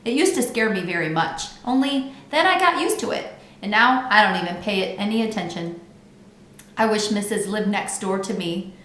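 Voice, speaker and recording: A woman's voice: mid-range voice, animated, moderate pace, fairly bright tone, lightly acted. A woman reading a children's book as a brash, bragging little girl who talks in childlike, emphatic bad grammar. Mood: chatty, confiding. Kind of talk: children's book read-aloud. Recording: roomy echo with background noise.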